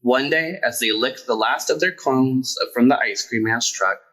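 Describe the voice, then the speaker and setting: Man, picture-book storyteller, expressive, emphatic, bright projected tone, mid pitch. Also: moderate pace, poor audio. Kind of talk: children's picture book read-aloud.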